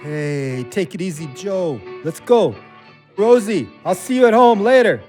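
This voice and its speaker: A man's voice: sits high, lively intonation, a little slow, mellow, soft background music. A man playing an earnest, plain-spoken ex-convict and loyal friend in a naturalistic audio drama. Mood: coaxing.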